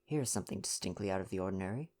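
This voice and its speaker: Detective's companion read by a man: cheerful English gentleman, eager and hearty, old-fashioned storybook manner. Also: sits low, animated, rapid-fire. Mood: curious. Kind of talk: storybook dialogue reading.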